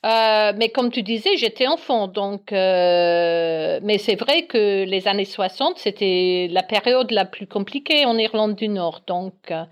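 A woman's voice: medium pitch, unhurried. Hesitant woman in her sixties. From Northern Ireland. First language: English. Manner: hesitant, searches for words, many fillers. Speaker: guest, English teacher. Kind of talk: casual interview.

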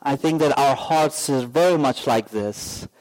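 A pastor preaching a sermon, telling a personal story in a slow, earnest way with many pauses and fillers. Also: male voice, mid-range voice, darkish tone, rough recording.

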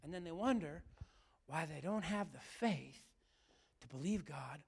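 A middle-aged male pastor preaching a sermon, his voice a little raspy from yelling, often asking the room questions. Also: on the high side, animated, measured pace.

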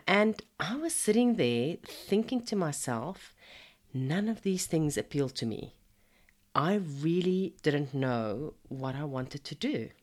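A woman in her fifties who is hoarse from a cold, hosting a podcast in a chatty, encouraging way that speaks to the listener as a friend. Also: low voice. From Zimbabwe. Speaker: podcast host. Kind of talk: casual motivational podcast monologue.

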